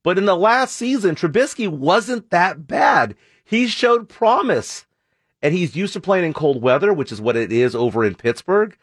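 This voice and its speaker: A man hosting a sports radio show, chatty and upbeat, his voice scratchy and hoarse from an illness. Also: high voice, very animated, no room sound, clean studio sound. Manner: upbeat, chatty, quick banter. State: hoarse, ill. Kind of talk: casual sports radio talk. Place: radio studio.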